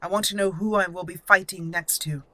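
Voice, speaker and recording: A woman voicing a solemn, proud female elf warrior in a roleplayed game, speaking formally and deliberately. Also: lowish voice, steady tone, sharp tone. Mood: formal, curious. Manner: formal, measured, declarative.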